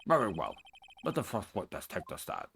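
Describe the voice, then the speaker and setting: A man voicing a formal male courtroom judge who speaks in a measured way, for a fan-voiced game. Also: deep voice, wildly swooping pitch, vocal fry, fairly bright tone, slight background noise, exaggerated voice.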